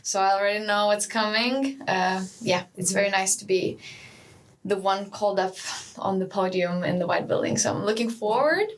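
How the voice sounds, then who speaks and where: A woman's voice: medium pitch, moderate pace. A young woman from Lithuania, a graduating master's student, talking cheerfully as a podcast guest. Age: young adult. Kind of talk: casual podcast interview.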